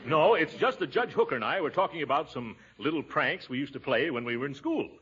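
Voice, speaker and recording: A man playing a pompous, easily flustered middle-aged uncle in 1940s radio comedy style, with a husky voice. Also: a little high, expressive, a little fast, fairly bright tone, slight background noise. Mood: worried. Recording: dull 1940s radio broadcast recording.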